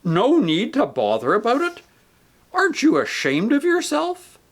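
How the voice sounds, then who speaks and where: A man's voice: extremely high pitch, huge pitch swings, measured pace, voice pushed up, full character voice. A man voicing a coarse, self-righteous male ghost who talks in blunt, indignant working-man speech.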